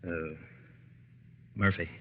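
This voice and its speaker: An actor playing an anxious judge in an old radio drama, speaking haltingly and repeating his words. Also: sits low, steady tone. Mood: faltering hope. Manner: hesitant, stammering, repeating words. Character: anxious, stammering, deep-voiced old-fashioned judge. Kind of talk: 1930s-40s radio drama acting. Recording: muffled, noisy, reverberant old radio.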